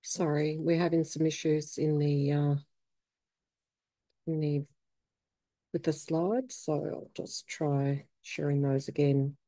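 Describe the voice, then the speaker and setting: A woman's voice: low-pitched, level tone, slow, long pauses, warm tone, no room sound. A woman organizing the seminar, apologizing while sorting out technical problems with the slides. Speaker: event organizer.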